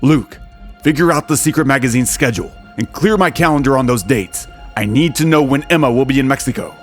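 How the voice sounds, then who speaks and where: Man acting an assured billionaire husband, medium pitch. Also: brisk, soft background music, lightly acted. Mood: commanding. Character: devoted, commanding billionaire husband, protective and calm. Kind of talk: audio drama acting.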